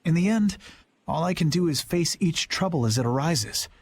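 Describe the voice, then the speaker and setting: A man's voice: on the high side, expressive, moderate pace, a little mellow, breathy edge. A man voicing a principled, earnest young knight in an anime game dub, formal and resolute. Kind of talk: English-dubbed anime game voice acting. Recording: music under the voice. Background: music.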